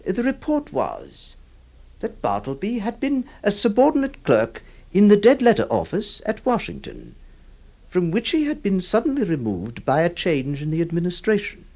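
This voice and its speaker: A man playing a troubled, compassionate lawyer who narrates in solemn, halting, literary phrases for a radio drama. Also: high voice, wildly swooping pitch, a little mellow, full character voice. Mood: reflective. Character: troubled, compassionate male lawyer recounting a sad history.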